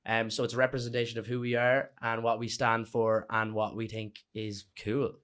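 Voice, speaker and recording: A young man with an Irish accent, a band member chatting as a guest on a podcast, loose and animated, swearing freely. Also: mid-range voice, moderate pace, smooth. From Ireland. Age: young adult. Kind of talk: casual chat.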